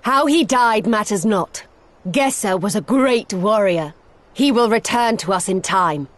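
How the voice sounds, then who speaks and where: Slow, solemn woman playing a proud warrior. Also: medium pitch, lively intonation, lightly acted. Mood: solemn reverence. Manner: solemn, authoritative, archaic formal speech. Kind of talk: dramatic game cutscene acting.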